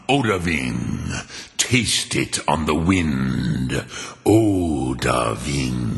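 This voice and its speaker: Ancient dragon, extremely deep and slow. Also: male voice, a bit bright, full character voice. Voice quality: deep, gravelly, rumbling. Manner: ponderous, deliberate, solemn, words drawn out. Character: ancient, wise, brooding male dragon mentor. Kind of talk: fantasy video game character acting. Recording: long cavernous reverb.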